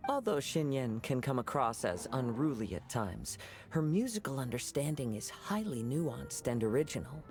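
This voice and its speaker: A man voicing a calm, courteous young male wanderer in a game, poetic and measured in speech. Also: mid-range voice, faint music behind. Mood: calm, wry. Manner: measured, formal. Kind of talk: game character voice acting.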